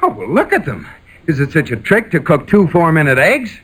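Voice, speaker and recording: An actor playing an exasperated but affectionate husband in a 1940s radio comedy, stammering and theatrical. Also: male voice, on the high side, big pitch swings, brisk, a bit bright, breathy edge, full character voice. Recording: very noisy old 1940s radio recording.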